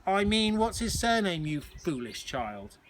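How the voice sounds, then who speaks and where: A man's voice: sits high, sing-song, a little slow, noisy background, voice pushed up. A man voicing a strict, cruel, bossy matron in a children's storybook, scolding and demanding. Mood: stern threatening.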